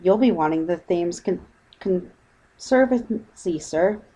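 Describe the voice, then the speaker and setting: A woman's voice: on the low side, animated, takes it slowly, dark tone, poor audio. An unflappable working-class boatman , acted by a woman reader. He talks in a dry, colloquial, matter-of-fact way, as a storybook character.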